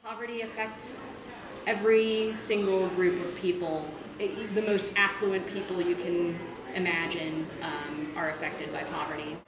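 A woman giving measured, articulate interview answers in an indoor room. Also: on the low side, flat delivery. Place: indoor room with mural wall. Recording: echoey room with crowd noise.

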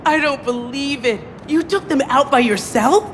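Female rescued hostage, video game role, acted by a woman. Slightly high, incredulous, dry wit. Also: normal speed, heavy background noise, lightly acted. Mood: incredulous, wry.

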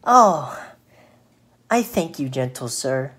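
A woman's voice: extremely low pitch, big pitch swings, slow pace, fairly bright tone, slight background noise, full character voice. A woman voicing a little female fly from a children's storybook, polite, dainty and wary. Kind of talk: children's storybook read aloud.

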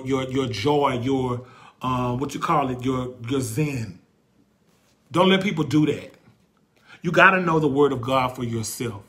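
A man in his forties hosting a faith talk, speaking passionately in a preacherly way, repeating phrases for emphasis and pausing to search for words when he turns reflective. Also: medium pitch, a bit bright. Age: 40s. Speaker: host.

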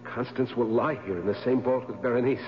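An actor playing a grief-stricken, obsessive man in a 1970s radio drama, speaking in an overwrought, halting way and repeating his words. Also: medium pitch, steady tone, natural voice. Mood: frantic, obsessive. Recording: muffled vintage radio broadcast with hum.

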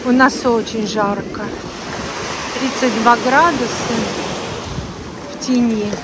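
A woman with a high voice speaks in an upbeat, inviting way, repeating words for emphasis. She films her beach vlog outdoors on a handheld phone. Loud surf and wind roar behind her. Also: fairly even intonation, slow. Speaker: beach vlogger. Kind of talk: casual vlog commentary.

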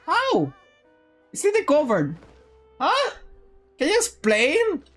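Woman game streamer, highish voice, lively pitch swings, excitable, jokey, casual chat, close mic. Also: slow. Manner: excitable, jokey, repeats phrases. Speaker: livestreamer. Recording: game music behind.

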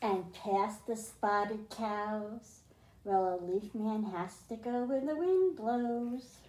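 A middle-aged woman hosting a children's storytime, speaking in simple, deliberate phrases meant for young kids. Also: mid-range voice, darkish tone. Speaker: children's librarian, storytime host. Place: small library room with bookshelves, indoors. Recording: roomy, echoing indoor sound.

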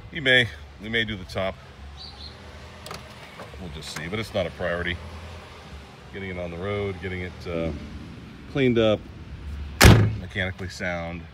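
Very deep-voiced man chatting over outdoor noise. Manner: rambling, chatty, pauses with fillers. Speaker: classic car vlogger. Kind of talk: casual walkaround narration. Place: outdoors by a car on a trailer.

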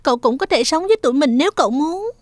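A woman's voice: sits high, expressive, very fast, dry recording, clean studio sound. A woman voicing a caring, big-sisterly young girl in a dubbed children's cartoon. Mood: inviting.

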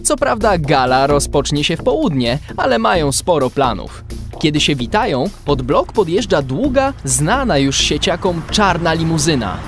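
A man's voice: on the high side, big pitch swings, moderate pace, faint music behind. A man narrating a children's adventure in a scripted audio drama. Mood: storytelling. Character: storyteller of a kids' adventure.

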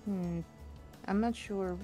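A woman's voice: medium pitch, steady tone, slow pace, warm tone, faint music behind, lightly acted. A woman playing an agreeable young female family member in a casual video game roleplay. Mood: easygoing.

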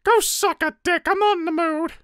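A woman's voice: extremely high pitch, fairly even intonation, moderate pace, fairly bright tone, no room sound. A woman voicing a small, bitter, foul-mouthed talking flower, numb and angry, in a fan roleplay drama. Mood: defensive, sullen. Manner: sarcastic, cursing, stammering when cornered.

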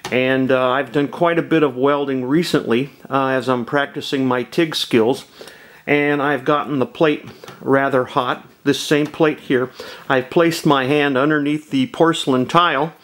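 A man hosting a DIY video, explaining a cheap welding setup at his workshop bench in a halting, restart-filled way. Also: medium pitch, steady tone, fairly bright tone. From Southern California. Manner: halting, many ums and uhs, restarts. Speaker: DIY channel host. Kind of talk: casual how-to demonstration. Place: home workshop, at a workbench.

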